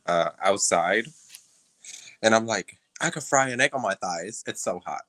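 A man from Puerto Rico speaks as a guest on a video call, answering briefly and easily. His voice is very low yet bright. The sound is dry and close, with no room echo. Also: animated, a little slow. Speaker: guest, go-go dancer. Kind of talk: casual video-call interview.

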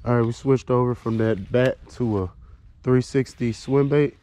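A man's voice: medium pitch, moderate pace. A man vlogging his fishing trip on a wooded creek bank, stuffy from sinus trouble, casually explaining his lures. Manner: relaxed, explains lure action while casting. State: stuffy nose. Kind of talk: casual outdoor commentary. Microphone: body-mounted action camera. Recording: faint outdoor ambience.

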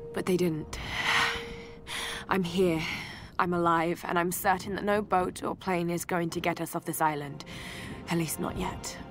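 An actress playing a shaken but determined young adventurer, narrating her survival journal in a game with a breathy voice that often drops toward a whisper. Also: on the low side. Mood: grieving, resolute. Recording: music behind the voice.

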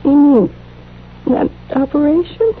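An actress playing a frightened, suffering frontier wife in a radio western, speaking in a pleading way. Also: female voice, high-pitched, animated. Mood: pained, pleading. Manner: slow, pleading. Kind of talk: old-time radio western acting. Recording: tinny, faintly noisy old radio recording.

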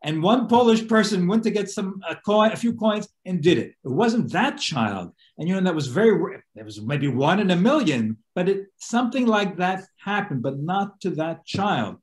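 A man in his sixties hosting a scholarly discussion on a video call from his living room, animated and gesturing, often restarting his sentences. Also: high voice, normal speed, hardly any pauses. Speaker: host and lecturer.

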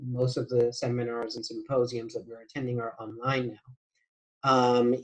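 A man's voice: medium pitch, darkish tone, poor audio. A man speaking as a panelist on a video call, reflective and searching for words with many fillers. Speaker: panelist, course instructor.

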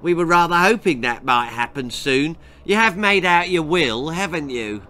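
A man's voice: fairly high pitch, expressive, moderate pace, voice pushed up. Older man doing a smug, spiteful little girl. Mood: smug cruel. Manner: smug, goading, loud. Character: mean, taunting young girl, spiteful spoilsport.

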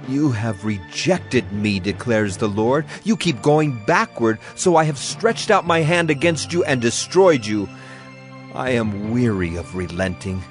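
A man's voice: mid-range voice, close to an everyday voice. A man playing a sorrowful, earnest prophet in a dramatized scripture reading, solemn and declamatory. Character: Jeremiah, sorrowful, earnest male Hebrew prophet. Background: music bed.

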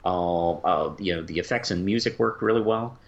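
A man co-hosting a casual review podcast, conversational and halting as he thinks aloud, on slightly lo-fi audio. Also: sits low. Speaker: podcast co-host.

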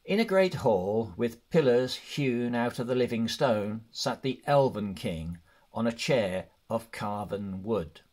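Male storybook narrator, mid-range pitch, slow and deliberate read. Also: animated, darkish tone. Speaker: audiobook reader.

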